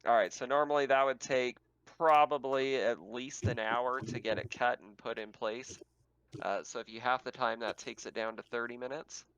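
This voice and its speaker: A man's voice: medium pitch, steady tone, moderate pace, fairly bright tone, clean tone, no room sound, dull audio. A man acting as game master, casually talking players through a tabletop roleplaying game.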